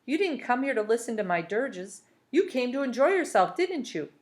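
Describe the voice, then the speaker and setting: A woman's voice: fairly high pitch, big pitch swings, normal speed, pitched above the performer's own voice. A woman reading a storybook aloud as a very old, welcoming, slightly mysterious woman with a melodious way of speaking. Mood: mournful, gentle. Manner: welcoming, gently coaxing.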